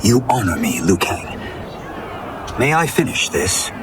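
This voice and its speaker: An actor playing a humble, dutiful young warrior monk in a video game, speaking politely, formally and sincerely. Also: male voice, fairly low pitch, big pitch swings, a little slow, heavy background noise. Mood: earnest.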